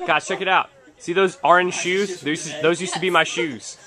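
A man's voice: high voice, steady tone, moderate pace, pushed and bright, soft background music. A young man chatting casually as he films a handheld vlog tour through a basement and a snowy street at night, joking along the way. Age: young adult. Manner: chatty, jokey, enthusiastic narration. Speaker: vlogger. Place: house basement and outdoors at night. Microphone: handheld camera at arm's length.

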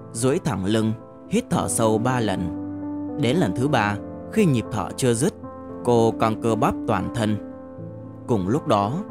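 A man narrating a novel as an audiobook in a measured, deliberate storytelling manner. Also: fairly low pitch, darkish tone.

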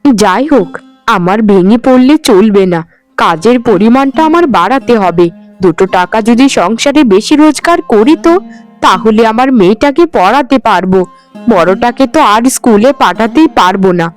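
A woman's voice: on the high side, expressive, moderate pace, faint music behind, lightly acted. A woman voicing a careworn widowed housemaid and mother in a cartoon, speaking in a plaintive, earnest way. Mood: worried, resolute. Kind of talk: Bengali cartoon voice acting.

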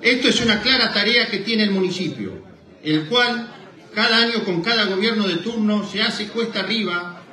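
A man's voice: sits high, animated, normal speed, bright, forceful tone. A middle-aged Argentine man formally reading out a prepared speech in Spanish at a microphone, stumbling now and then. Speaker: president of a rural association giving a speech. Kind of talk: formal speech read from paper. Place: indoor hall, at a lectern. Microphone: microphone on a boom stand, recorded from a distance. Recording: echoey hall PA, distant microphone.

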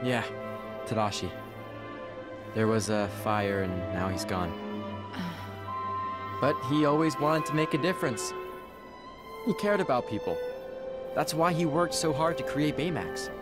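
A man's voice: medium pitch, animated, unhurried, pauses often, close to an everyday voice. A man playing an earnest teenage boy robotics prodigy and hero in a video game cutscene, hesitant when thinking. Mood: wistful. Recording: music behind the voice.

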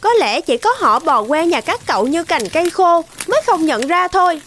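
A woman's voice: very high-pitched, lively intonation, normal speed, fairly bright tone, a little hiss or hum. A woman voicing a vain female butterfly in a cartoon fable, haughty and dismissive. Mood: smug. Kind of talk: animated fable dialogue.

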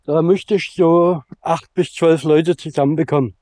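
A man in his fifties talking casually to a camera at arm's length outdoors, spontaneous and halting. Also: a little high, steady tone, mellow, dry recording. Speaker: vlogger. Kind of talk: casual outdoor vlog talk. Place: open grassy field outdoors.

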